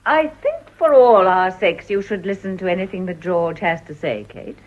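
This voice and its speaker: An actress playing a shrewd, composed middle-aged mother in a period radio drama, with measured, probing upper-class English speech. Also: on the low side, lightly acted. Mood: polite, composed. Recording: dull, lo-fi radio recording.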